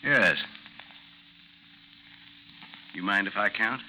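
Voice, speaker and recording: An actor in a 1950s radio drama playing a vengeful gentleman duellist, coolly mocking and formal in speech. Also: lowish voice, normal speed. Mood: cool menace. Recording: noisy 1950s radio recording, slight hiss.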